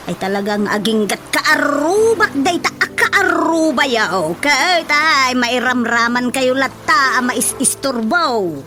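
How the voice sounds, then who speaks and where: A chatty village neighbor with a high voice, teasing and complaining. She plays it in radio comedy drama style over a prominent music bed. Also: expressive, slow, few pauses.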